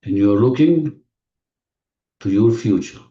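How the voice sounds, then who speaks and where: A man leading a guided visualization in non-native English phrasing, giving gentle, repetitive instructions with deliberate pauses, recorded muffled and distant in an echoey room. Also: fairly low pitch, lively intonation. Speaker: guided meditation leader. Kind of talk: guided visualization, spoken slowly to a listener.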